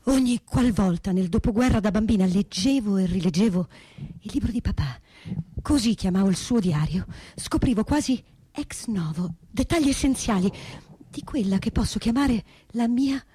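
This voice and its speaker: Female reader for reflective memoir, mellow and breathy, very dry recording. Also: mid-range voice, lively intonation, normal speed, dry recording. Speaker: stage reader. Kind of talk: reflective reading of a first-person memoir.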